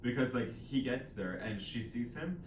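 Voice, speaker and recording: A man's voice: mid-range voice, expressive, moderate pace. A man chatting casually about books in an informal, agreeable, loosely rambling way. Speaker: co-host of a book discussion channel. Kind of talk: casual chatty book discussion. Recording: echoey room, distant mic, clear background noise.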